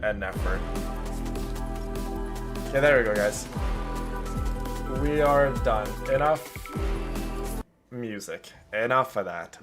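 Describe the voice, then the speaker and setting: A man's voice: on the low side, vocal fry. A man livestreaming a video game, chatting casually and exclaiming to his viewers as game music plays. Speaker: game streamer, host.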